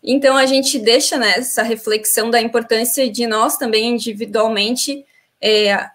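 A woman's voice: fairly high pitch, steady tone, normal speed, fairly bright tone. A young woman moderating an online panel, delivering fluent closing thanks to guests and viewers. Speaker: event moderator.

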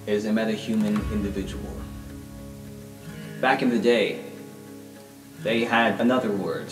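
A man's voice: fairly low pitch, measured pace, echoey room, distant mic, over music, poor audio. A man voicing a suave villainous mentalist who addresses captive students in a grandiose, formal way, in a tabletop roleplay podcast. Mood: smooth, pompous.

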